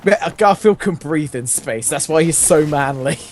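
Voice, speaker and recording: A man's voice: on the high side, lively intonation, normal speed, darkish tone. A man reading fan fiction aloud in a casual, comic way, amused and often breaking into laughter.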